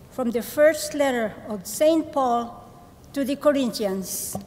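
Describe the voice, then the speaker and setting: Middle-aged woman lector, fairly high voice, slow careful reading, church reverb. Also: darkish tone. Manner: careful, deliberate phrasing. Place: large church. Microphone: lectern microphone.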